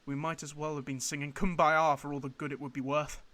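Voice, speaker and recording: A man's voice: fairly high pitch, little pitch movement, fairly quick, sharp tone. A man playing a stern, angry military officer in a radio drama, scolding in a clipped, authoritarian way. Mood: menacing, scolding. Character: stern, angry male military superior berating a subordinate.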